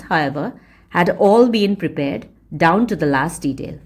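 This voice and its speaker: A woman reading a story aloud as a teacher would, in an expressive, deliberate and emphatic read-along style. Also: on the low side. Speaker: audiobook reader and English teacher.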